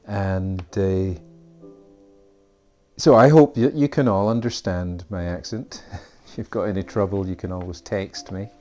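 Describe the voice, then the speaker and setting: Slow-talking Scottish art teacher. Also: male voice, low voice, mellow, faint music behind. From Scotland. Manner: friendly, rambling, with fillers and asides. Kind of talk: recorded class introduction.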